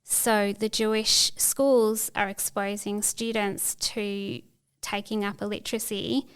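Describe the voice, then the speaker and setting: Clear-voiced reflective woman guest. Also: mid-range voice, flat delivery, slow. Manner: thoughtful, searching for words with frequent restarts. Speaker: podcast guest. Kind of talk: reflective interview conversation.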